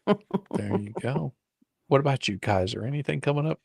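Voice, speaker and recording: A man's voice: medium pitch, normal speed, vocal fry, darkish tone, breathy edge, no echo, studio quality. A man hosting a live stream, chatting casually and jokingly with his co-hosts. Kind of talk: casual group chat, joking.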